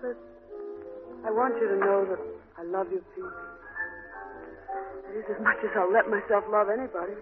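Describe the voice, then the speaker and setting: A woman's voice: mid-range voice, fairly even intonation, moderate pace, pauses often, over loud music, natural voice. A woman playing a sad, tender but resolute young woman in love, acted in 1940s radio drama style. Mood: sadly resolute. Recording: tinny, band-limited old radio broadcast.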